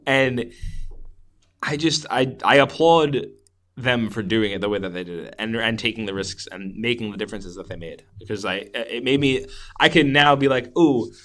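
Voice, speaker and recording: A man's voice: lowish voice, normal speed, a bit bright. A man co-hosting a podcast, reviewing a film in a casual, enthusiastic, rambling way and laughing readily. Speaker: podcast co-host.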